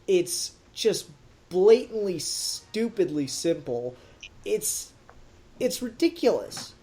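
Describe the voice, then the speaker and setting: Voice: mid-range voice, sing-song, slow pace, dark tone. A podcast co-host giving a casual, blunt and emphatic critique in conversation. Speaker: podcast co-host reviewing an audio drama.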